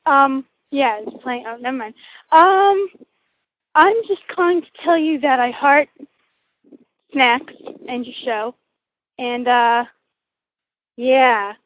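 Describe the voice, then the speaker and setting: A woman's voice: sits high, slow, long pauses, slight background noise. A woman leaving a hesitant voicemail full of fillers. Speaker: voicemail caller. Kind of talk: phone voicemail. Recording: tinny phone line.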